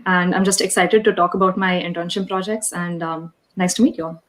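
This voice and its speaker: A woman's voice: lowish voice, fairly even intonation, brisk. Upbeat young woman. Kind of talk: casual self-introduction.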